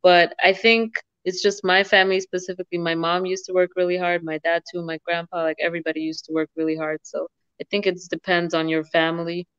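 A woman's voice: lowish voice, flat delivery, fairly quick, a bit bright, clear, resonant tone, dry recording. A young woman from Sudan chatting as a guest on a video call, laughing easily. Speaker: guest business owner. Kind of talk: casual video call interview. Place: home room, indoors. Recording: dull lo-fi video call audio.

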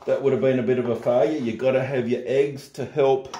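A man's voice: mid-range voice, fairly quick, reverberant, highs cut off, slight background noise. A middle-aged man hosting a casual home cooking tutorial in his kitchen, chatty and joking at himself. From Australia. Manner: relaxed, chatty, jokes at himself.

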